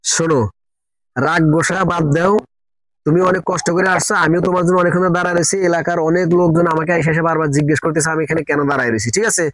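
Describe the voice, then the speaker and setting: An actor playing a defensive, anxious lover in a drama, protesting and pleading with a Bangladeshi accent. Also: male voice, fairly high pitch, steady tone, very fast, a bit bright, a little hiss or hum, close to an everyday voice, poor audio. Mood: pleading, earnest. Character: anxious, defensive male lover waiting to elope.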